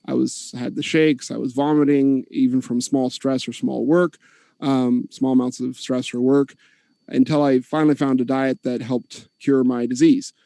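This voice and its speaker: A man earnestly giving his opening statement in an online debate, steady with occasional fillers. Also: medium pitch, moderate pace, vocal fry, no room sound.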